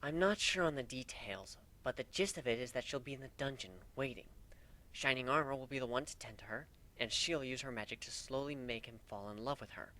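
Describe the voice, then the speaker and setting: A man's voice: medium pitch, lively intonation, a little fast, bright, forceful tone, poor audio. A man voicing a sly, playful female changeling wife in a fan-fiction reading. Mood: explaining, sly. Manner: sly, matter-of-fact.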